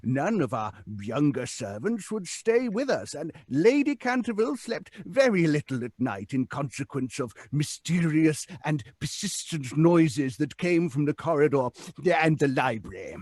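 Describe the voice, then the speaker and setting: A man's voice: a little high, big pitch swings, measured pace, a little mellow, dry recording, studio quality. A man playing a grave, courteous aristocrat in an old-time radio drama, formal and portentous. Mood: grave, earnest. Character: grave, courteous English aristocrat, warning earnestly. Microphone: studio mic with headset, video call.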